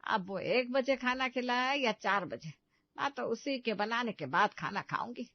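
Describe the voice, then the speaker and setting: Dull close recording, female actor as middle-aged mother-in-law, highish voice, proud, stubborn, complaining, comic drama. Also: expressive, unhurried, dry recording. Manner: complaining, emphatic. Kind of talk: Hindi comedy story dramatization.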